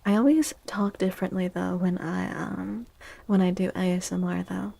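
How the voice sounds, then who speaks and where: Soft breathy girl doing asmr stream chat, super chill and mellow, kinda whispery. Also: lowish voice, steady tone, moderate pace. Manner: very chill, drowsy, often dropping to a whisper. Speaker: live stream host.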